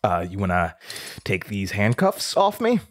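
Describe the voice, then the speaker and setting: Man acting a nervous confused guy being questioned, slow and stammery, horror audio drama, music behind. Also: lowish voice, full character voice. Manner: halting, stammering, hesitant. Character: nervous, confused young man under interrogation.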